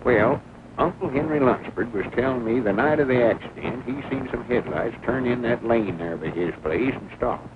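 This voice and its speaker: A man's voice: mid-range voice, lively intonation, moderate pace, lightly acted. A man acts out an earnest small-town storekeeper with a rural Southern American accent, folksy and full of opinions. The sound is a tinny, faintly noisy soundtrack from an old comedy film. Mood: folksy, deliberate. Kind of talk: 1940s film comedy-drama acting.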